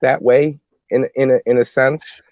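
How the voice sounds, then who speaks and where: A man co-hosting a podcast in casual panel chat, halting and repeating himself as he gets into a point. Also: medium pitch, steady tone, a little mellow, dry recording, lo-fi sound. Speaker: podcast co-host.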